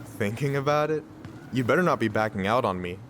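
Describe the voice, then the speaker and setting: An actor playing a friendly, teasing young coworker in a video game, chatty and playfully wry. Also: male voice, medium pitch, animated, a little fast, a bit bright, slight background noise, close to an everyday voice. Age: young adult. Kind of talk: naturalistic video game acting.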